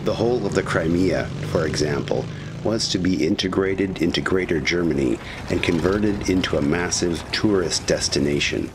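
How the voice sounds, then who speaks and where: A man narrating a scripted historical documentary in a measured, serious manner. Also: low-pitched, noisy background.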